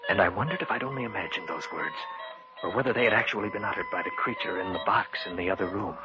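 A male actor as a troubled man telling his own eerie story in a vintage radio thriller. His voice is lowish, measured and serious, in a muffled old recording with loud music underneath. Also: close to an everyday voice. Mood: uneasy, recounting. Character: haunted, earnest man recounting his uncanny ordeal. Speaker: narrator and protagonist. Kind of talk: old-time radio drama narration.

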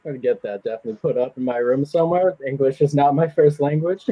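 A young man, a guest writer, talking casually and laughing at himself, with halting restarts and a husky voice. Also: mid-range voice, dry recording. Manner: self-deprecating, laughs often, halting restarts. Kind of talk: casual podcast chat. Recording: dull lo-fi audio.

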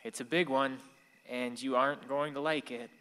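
A man's voice: medium pitch, fairly even intonation, normal speed, a bit bright, clean tone, close to an everyday voice. Man playing solemn ghost grandson, echoing. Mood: pleading. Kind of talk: radio play acting.

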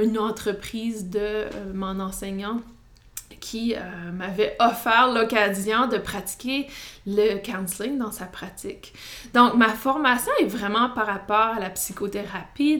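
A woman with an Acadian French accent, hosting her own podcast as a psychotherapist, speaking in a relaxed monologue with frequent hesitations and light laughs. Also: medium pitch. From New Brunswick, Canada. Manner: hesitant, many "euh" fillers, light laughs.

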